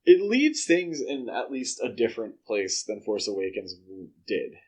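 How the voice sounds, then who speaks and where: A man's voice: fairly low pitch, big pitch swings, unhurried, breathy edge. A man co-hosting a podcast, casually discussing films in a conversational, explanatory way. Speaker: podcast co-host.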